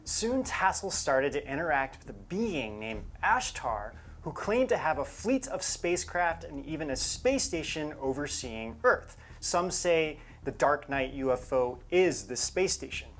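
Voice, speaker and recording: A male co-host with a very animated, varied pitch. He narrates scripted material like a story, stressing points emphatically while keeping it conversational. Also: measured pace, hardly any pauses, slight background noise. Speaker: show co-host.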